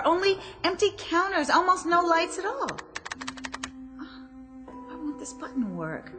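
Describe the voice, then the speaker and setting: An actress playing an uneasy but polite department store shopper in a radio drama, hesitant, questioning and easily flustered. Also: female voice, high voice. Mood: alarmed, annoyed.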